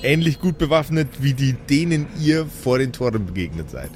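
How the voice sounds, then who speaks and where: A man's voice: mid-range voice, big pitch swings, moderate pace, a little mellow, a little hiss or hum. A man as game master, improvising narration and table talk for a role-playing game.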